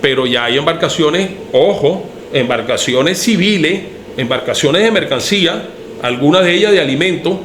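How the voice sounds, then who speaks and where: Earnest Venezuelan man, higher voice. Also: expressive, normal speed, fairly bright tone, a little hiss or hum. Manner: earnest, thinking aloud with restarts. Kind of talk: casual podcast discussion.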